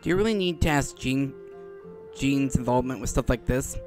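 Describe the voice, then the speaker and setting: A man's voice: medium pitch, brisk, a little mellow. A man hosting a gameplay video, giving casual commentary and reading dialogue aloud. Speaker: gaming video host. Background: game music.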